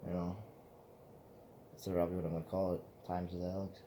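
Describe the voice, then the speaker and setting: A man's voice: deep voice, level tone, a little fast, warm tone, a little hiss or hum. A man in his twenties, hosting his own vlog in a loose, profane, meandering casual chat. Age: 20s. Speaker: vlog host.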